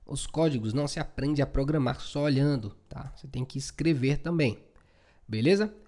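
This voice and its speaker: A man's voice: mid-range voice, normal speed, darkish tone. A Brazilian man giving an informal, chatty coding tutorial, often checking in with short tag questions. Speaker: programming instructor.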